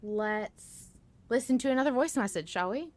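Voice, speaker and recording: A woman's voice: a little high, animated, normal speed, darkish tone. A woman hosting a podcast in a casual, conversational style. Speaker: podcast host.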